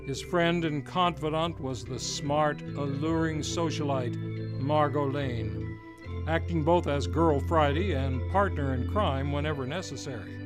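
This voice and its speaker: A man's voice: fairly high pitch, a little slow. A man, hosting and introducing an old-time radio hour in a showman announcer manner. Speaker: radio show host. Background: music.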